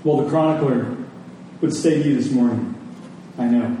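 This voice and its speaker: A man's voice: mid-range voice, moderate pace, warm tone. A man preaching a sermon to a congregation, using rhetorical questions and repeated phrases for emphasis. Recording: very echoey room, distant mic, faint noise.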